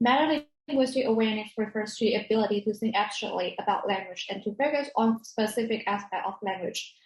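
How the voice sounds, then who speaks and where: A woman's voice: medium pitch, a little fast. A woman student presenter reading a prepared academic presentation in a formal manner. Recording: roomy, echoey sound.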